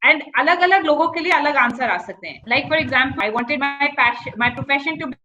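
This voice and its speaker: A woman's voice: high voice, animated, very fast, dull audio. A woman with an Indian accent giving informal career advice to students, slipping between English and Hindi phrases.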